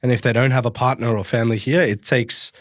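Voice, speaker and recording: A man's voice: mid-range voice, steady tone, fast talker, no echo, studio quality. An Australian man hosting a podcast, chatting casually as he interviews a guest. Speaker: podcast host.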